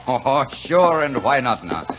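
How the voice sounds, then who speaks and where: A man playing a brash, warm-hearted truck driver in a colloquial, folksy 1940s radio drama style. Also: medium pitch, very animated, takes it slowly, noisy background. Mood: jubilant, teasing. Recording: dull vintage 1940s radio broadcast, music.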